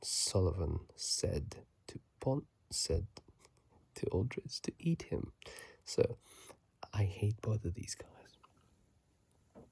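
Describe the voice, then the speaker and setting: A man giving casual, halting ASMR commentary in a hushed, breathy whisper, sleepy and close to a phone microphone. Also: deep voice, expressive. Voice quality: breathy, gravelly soft whisper. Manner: slow, halting, hushed delivery. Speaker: video host.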